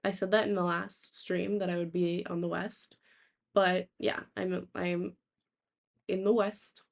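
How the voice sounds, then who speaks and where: A young woman with a raspy, sore-throated voice, chatting casually to live stream viewers in a halting, rambling way. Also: mid-range voice, a little mellow. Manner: halting, rambling, thinking aloud. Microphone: laptop, without usual microphone.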